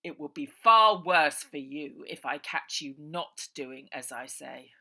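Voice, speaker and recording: A woman's voice: deep voice, animated, slow pace, gravelly, pushed and bright, full character voice. A woman voicing a cold, commanding, contemptuous villainous uncle in a children's story, mock-polite and sneering. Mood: commanding, impatient.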